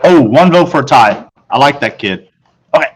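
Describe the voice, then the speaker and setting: A man's voice: mid-range voice, expressive, normal speed, slightly rough, low-quality recording. A man reading aloud to children as a storyteller, in the manner of a teacher narrating a book. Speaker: audiobook reader, teacher.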